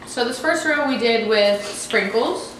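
A woman's voice: on the high side, unhurried, fairly bright tone. A teenage girl walking viewers through a recipe step by step in a casual home cooking vlog, recorded in a kitchen by a far-off camera. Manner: instructive, matter-of-fact. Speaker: vlog host. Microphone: far camera on a tripod. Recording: roomy echoey kitchen, noisy.

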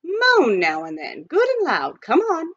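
A woman's voice: extremely high pitch, wildly swooping pitch, unhurried, a bit bright, voice pushed up, heavily acted. Woman voicing a polite, clever butler man. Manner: formal, courteous speech. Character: polite, clever male butler turned gold-seeker. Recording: dull lo-fi recording.

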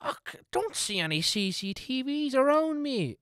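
Cheeky, animated detective sidekick, acted by a woman. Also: high-pitched, unhurried, clean tone, no echo, studio quality. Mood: flippant. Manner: flippant, slangy. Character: cheeky, clueless, slack male detective sidekick. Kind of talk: comic amateur radio drama acting.

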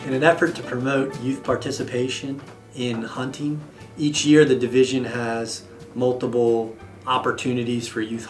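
A man in his thirties speaks as an official in an interview, at a middling pitch. His delivery is formal and measured, with deliberate pauses. The room is echoey and the microphone sits a little way off. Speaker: state wildlife agency official. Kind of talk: explanatory on-camera interview. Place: indoor office with wall map. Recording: roomy echo, slightly distant mic.

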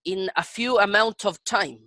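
A middle-aged man with a Chilean accent and Spanish as his native language, chatting in halting English and repeating phrases as he searches for words. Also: high-pitched, bright, forceful tone, no echo, studio quality. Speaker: English learner. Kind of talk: casual conversation practice. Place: indoor room on a video call. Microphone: headset.

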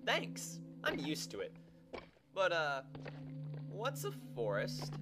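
A woman's voice: deep voice, wildly swooping pitch, slow, exaggerated voice. A woman voicing a cheerful, naive young demon boy in a motion comic radio play. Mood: naive, puzzled. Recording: loud music behind the voice.

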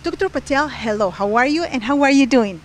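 Chatty woman host, bright and upbeat. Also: high voice, animated, brisk, slight background noise. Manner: upbeat, chatty, repeats questions in two languages. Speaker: host and interviewer. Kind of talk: bilingual interview hosting. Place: outdoors on the porch of a large unfinished house. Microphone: handheld mic.

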